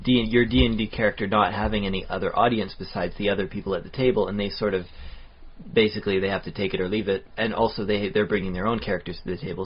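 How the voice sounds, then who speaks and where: Low-voiced husky dude on a podcast, rambly, muffled sound. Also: male voice. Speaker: podcast co-host. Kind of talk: casual chat. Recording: dull lo-fi podcast audio.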